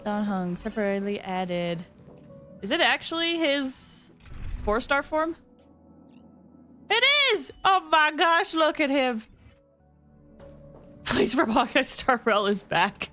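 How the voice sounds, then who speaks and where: Excitable high-voiced woman streamer, very animated. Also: takes it slowly, long pauses. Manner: excitable, exclamatory, giggly. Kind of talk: live game-stream reactions, casual chat with viewers. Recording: game music behind the voice.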